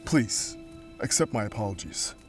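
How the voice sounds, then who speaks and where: A man's voice: on the low side, very animated, moderate pace, soft background music. A man playing a noble, courteous ancient ghost guide in an audio drama, speaking in grave, formal, archaic phrases. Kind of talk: audio drama character acting.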